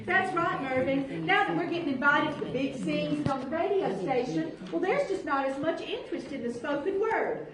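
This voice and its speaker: Woman playing a high, lively Southern church mother. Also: moderate pace, few pauses, distant mic. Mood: proud. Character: proud, bossy, exasperated Southern church mother. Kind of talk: comic stage acting in character. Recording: noisy, echoey live stage from afar.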